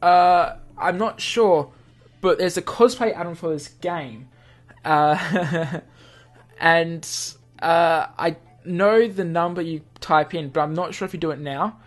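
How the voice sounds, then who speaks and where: A gamer reading a visual novel aloud with casual, easily amused commentary, thinking out loud. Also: mid-range voice, takes it slowly. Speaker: gamer, channel host. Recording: music under the voice.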